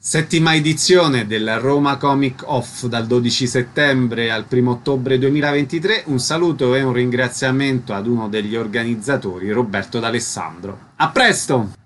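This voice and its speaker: Male radio interviewer for arts listings, mid-pitched voice, slight background noise. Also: normal speed, hardly any pauses, fairly bright tone.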